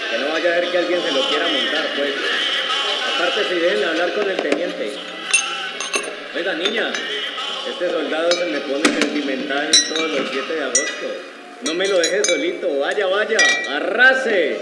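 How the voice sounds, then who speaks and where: A man's voice: on the high side, moderate pace, heavy background noise, lightly acted. A man with a Colombian accent playing a crude, domineering army colonel in a radio drama, bossy and coarsely familiar. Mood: commanding, mocking. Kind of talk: live radio drama reading. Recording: echoey room with loud music.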